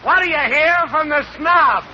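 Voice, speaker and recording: A man's voice: very high voice, moderate pace. A man playing an eager, brash gag writer trading corny, snappy patter in 1930s radio comedy style. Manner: snappy vaudeville double-act delivery. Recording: muffled, noisy 1930s radio broadcast.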